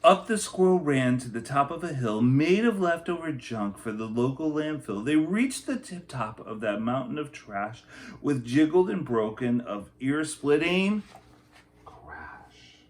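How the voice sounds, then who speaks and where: A man teacher reading a picture book aloud to children, with expressive, emphatic delivery and softer, chatty asides. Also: mid-range voice, measured pace.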